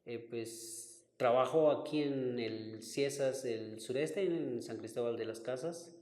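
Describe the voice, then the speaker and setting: A man in his forties whose first language is Q'anjob'al, a linguistics professor calmly recalling his path in an interview. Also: mid-range voice. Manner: thoughtful, unhurried, searching for words. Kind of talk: interview answers, personal recollection. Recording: roomy recording with long reverb.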